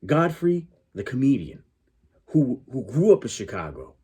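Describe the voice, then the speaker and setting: A man's voice: mid-range voice, sing-song, normal speed, dark tone, breathy edge. A man with a southwestern Connecticut accent close to New York's gives casual, opinionated commentary, rambling and stammering as he corrects himself. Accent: southwestern Connecticut, close to New York. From Connecticut, United States. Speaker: YouTube reaction host.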